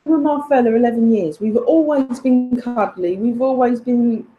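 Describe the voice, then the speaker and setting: A woman's voice: on the high side, normal speed, phone-quality sound. A woman delivering an indignant, emphatic personal rant, addressed accusingly to someone who is absent.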